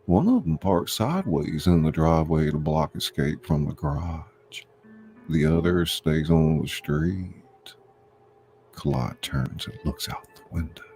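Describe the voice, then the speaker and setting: A man reading screenplay stage directions aloud as a deliberate scripted narrator. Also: very deep voice, lively intonation, warm tone, faint music behind.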